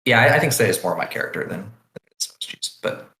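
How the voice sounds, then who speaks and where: A man's voice: lowish voice, lively intonation, fairly quick, creaky, bright, forceful tone. A man co-hosting a podcast, reading listener questions in laid-back casual chat with dry quips. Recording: echoey room.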